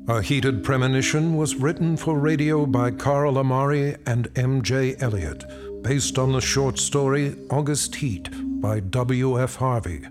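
A man reading radio show credits in a measured, formal manner. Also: medium pitch, over music. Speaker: credits announcer.

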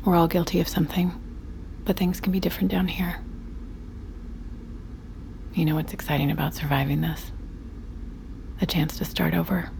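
An actress playing a calm, calculating and persuasive survivor in an intimate audio drama. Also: female voice, on the low side, normal speed, vocal fry, pauses often, slight background noise. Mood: reassuring.

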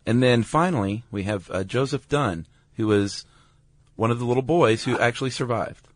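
A man's voice: mid-range voice, no echo. A man co-hosting a podcast, talking casually with frequent fillers. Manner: relaxed, halting with many fillers. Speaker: podcast co-host. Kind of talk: casual chat.

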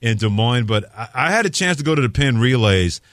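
A man's voice: mid-range voice, lively intonation, fairly quick, a bit bright, no echo, studio quality. A man in his fifties or older from New York City, hosting live sports talk radio and chatting with a co-host and callers. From New York City, USA.

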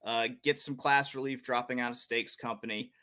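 A man's voice: mid-range voice, fairly even intonation, normal speed, pushed and bright. Joking male racing podcast host. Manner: joking, rambling with many fillers. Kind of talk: casual chat about horse race betting.

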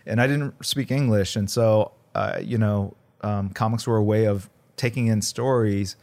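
A man's voice: lowish voice, steady tone, normal speed, gravelly, a little mellow. A man in his fifties, born in South Korea, talking reflectively as an interview guest, with many fillers and restarts. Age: 50s. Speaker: guest artist. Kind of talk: casual interview conversation.

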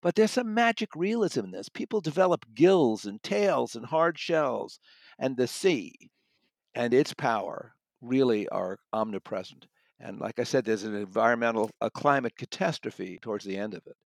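A man speaking as a guest book critic in conversation, somewhat halting, rephrasing and repeating words for emphasis. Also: medium pitch, animated, gravelly, no room sound.

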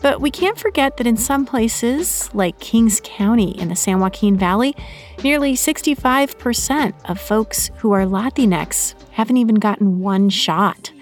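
A woman's voice: on the high side, animated, a little slow, soft background music. A woman with an American accent presenting a scripted public radio segment as its host.

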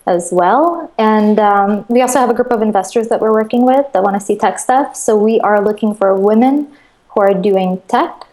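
A woman's voice: medium pitch, normal speed, a little mellow. A woman from Russia, guest in a casual interview, talking enthusiastically and chattily about her crowdfunding platform over a video call. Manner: enthusiastic, chatty, laughs often.

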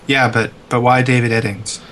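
A man's voice: medium pitch, level tone, normal speed, a bit bright. A man co-hosting a podcast, chatting casually in a sardonic, joking manner. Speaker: podcast co-host. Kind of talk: casual chat. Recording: noticeable background noise.

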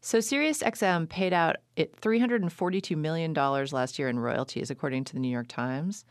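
A woman hosting a public radio interview show, reading measured scripted links between her questions. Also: low voice, no echo, studio quality.

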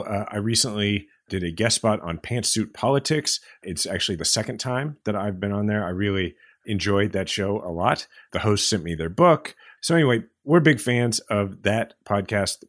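A man's voice: fairly low pitch, expressive, brisk, hardly any pauses, no room sound, clean studio sound. A man co-hosting a political podcast, speaking casually and emphatically. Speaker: podcast co-host.

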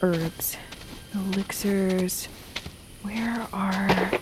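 A woman's voice: mid-range voice, steady tone, extremely slow, background noise. Guarded, defiant outcast woman. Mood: curt, guarded. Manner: curt, suspicious. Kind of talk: fantasy audio drama acting. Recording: faint night insect sounds.

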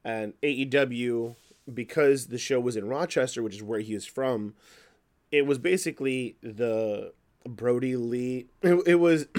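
A man hosts a casual commentary show in a slightly hoarse, mid-pitched voice. He talks conversationally and without a script, offering opinions and working through his thoughts out loud. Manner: conversational, opinionated, thinking aloud. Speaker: wrestling news podcast host.

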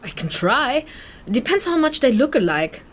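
Chatty young village refugee girl in fantasy audio drama, high voice, swings all over, halting and irreverent. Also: very animated. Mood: hesitant, wry. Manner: casual, halting, exclamatory. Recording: music behind the voice.

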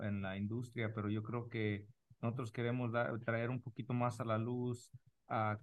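Informal male podcast host. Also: fairly low pitch. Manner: informal, joking, rambling. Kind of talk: casual podcast conversation in Spanish with English words.

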